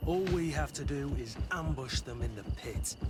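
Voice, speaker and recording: A man's voice: medium pitch, normal speed, over loud music, close to an everyday voice. An actor playing an honour-bound soldier captain in a video game, protective of his recruits, solemn and intense. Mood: determined.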